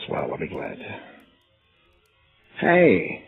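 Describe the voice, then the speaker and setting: A man's voice: low-pitched, huge pitch swings, very slow, exaggerated voice. An American actor playing a cornered, cynical male arsonist in 1950s radio drama, talking in a laconic, colloquial way. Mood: resigned, then vindictive, eager. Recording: tinny vintage radio recording, faint music.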